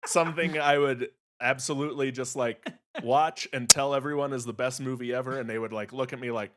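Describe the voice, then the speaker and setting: A man's voice: mid-range voice, steady tone, fairly quick, very noisy. Man casually joking on a podcast. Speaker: podcast co-host. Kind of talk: casual podcast critique of pitches.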